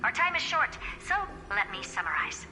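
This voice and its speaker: A woman playing a brisk, self-assured genius scholar in a video game, blunt and commanding as she briefs. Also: mid-range voice, sing-song, lightly acted. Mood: brisk, smug. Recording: long reverb, music underneath. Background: music.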